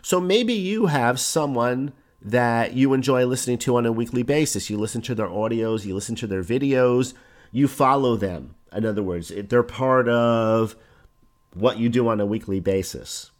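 A man talking into a podcast mic with a hoarse voice. He explains his points in an easy, conversational way and leans on simple examples. His pitch sits in the middle of the usual range for a man. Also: normal speed. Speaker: podcast host. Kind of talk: solo podcast talk on online business.

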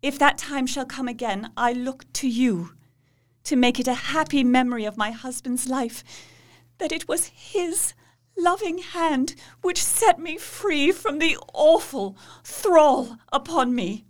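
Woman as Mina, young wife, radio drama, high voice, slow, solemn, pleading. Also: animated. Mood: tender. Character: Mina, devoted young wife, grave and resolute. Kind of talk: radio play acting.

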